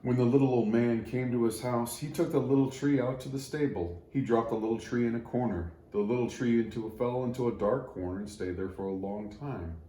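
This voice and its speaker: A man's voice: mid-range voice, fairly quick, hardly any pauses, mellow, slight background noise. A man reading a children's story aloud in a storytelling style. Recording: roomy echo.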